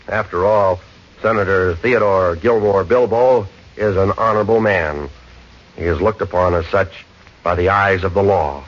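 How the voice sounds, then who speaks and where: A man's voice: sits low, natural voice. A man playing an impassioned, sardonic political speaker in a 1940s-style radio drama. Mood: sardonic, measured. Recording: dull, hissy old radio recording.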